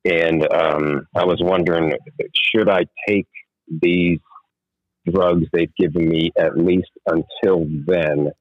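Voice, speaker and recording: A hoarse man with a very deep voice calls in over a muffled phone line. He speaks in a worried, halting way, stopping and restarting often. The sound is dry and close. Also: fairly even intonation, no echo. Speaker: caller.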